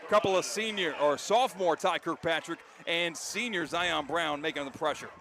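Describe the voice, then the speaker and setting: High-pitched American man calling football. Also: moderate pace, a little hiss or hum. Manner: excitable on big plays, shouting calls. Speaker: play-by-play announcer. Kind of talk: live high school football radio commentary.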